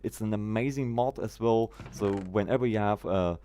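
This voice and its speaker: A man's voice: fairly low pitch, normal speed, dark tone, slight background noise. Chatty man streaming a game. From Germany. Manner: chatty, greets viewers by name, quirky roundabout phrasing. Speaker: live streamer. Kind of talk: casual gameplay live stream chat.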